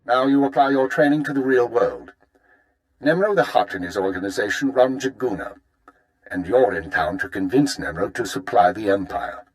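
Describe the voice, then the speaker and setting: A man playing a stern, formal intelligence chief in a video game, giving measured, authoritative orders. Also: on the low side, expressive, natural voice, rough recording. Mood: formal, businesslike. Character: stern male imperial intelligence chief briefing an agent. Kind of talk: scripted video game dialogue.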